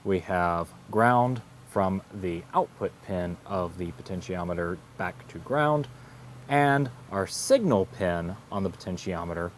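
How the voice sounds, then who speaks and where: A man's voice: low-pitched, a little hiss or hum. A man narrating a scripted technical tutorial as an instructor, explaining things methodically in carefully phrased steps. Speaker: instructor and host of an electronics tutorial series.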